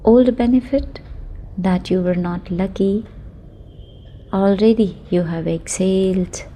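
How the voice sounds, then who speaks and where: A woman with a soft, mellow tone leads a guided sleep meditation. She speaks very slowly and soothingly, leaving long pauses between short affirming phrases. A faint ambience sits behind her voice. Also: medium pitch, slight background noise.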